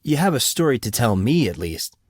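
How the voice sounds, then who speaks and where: A man's voice: mid-range voice, sing-song, a little fast, slightly rough, no echo, studio quality. A man voicing a sardonic teenage schoolboy with slangy talk in an audiobook. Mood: teasing. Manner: teasing, slangy. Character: groggy, sardonic teenage boy, new at school.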